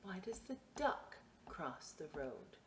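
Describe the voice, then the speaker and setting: Animated woman reading to young children. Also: lowish voice, slow, slight background noise. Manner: playful, expressive, asks and answers her own questions. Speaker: children's story time reader at a library. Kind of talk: read-aloud storytelling with chatty asides to young children.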